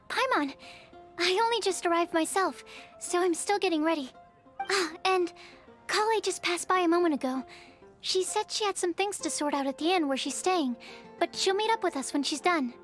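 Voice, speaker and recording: A woman's voice: extremely high pitch, a bit bright. A woman playing a shy, studious young female alchemist in a video game, speaking in a hesitant, careful, analytical way. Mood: earnest, polite. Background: music.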